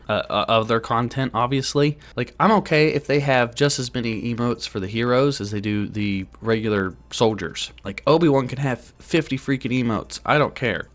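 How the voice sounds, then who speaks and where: A man's voice: mid-range voice, a bit bright. A man giving casual gaming news commentary as a YouTuber.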